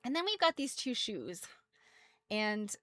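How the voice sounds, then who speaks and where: A woman's voice: mid-range voice, sing-song, a little slow, fairly bright tone, dry recording. An American woman presenting quilt history casually as a host, chatty and self-deprecating, wandering off into asides.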